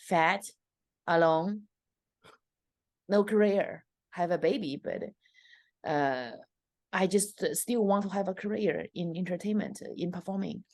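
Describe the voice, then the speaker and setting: A woman in her thirties with a Chinese accent tells her story in an interview, animated and full of fillers. Her voice sits low, and the sound is dry and close. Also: no room sound. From China. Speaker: stand-up comedian guest.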